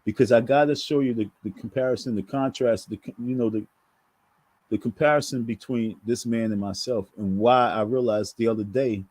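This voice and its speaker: A man's voice: medium pitch, fairly even intonation, normal speed, darkish tone, slightly rough, dry recording. A man giving preachy casual commentary to his viewers, emphatic and repetitive in a sermon-like way. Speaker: online commentator.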